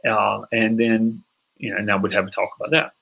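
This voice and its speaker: Husky-voiced man coaching sales. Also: lowish voice, brisk, poor audio. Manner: energetic, emphatic, acts out sample calls. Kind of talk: online course lecture.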